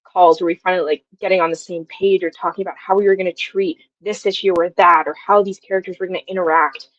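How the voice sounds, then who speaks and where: Young woman, high voice, dull lo-fi audio. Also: steady tone, fast. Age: young adult. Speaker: writer interviewed as guest. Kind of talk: casual interview chat.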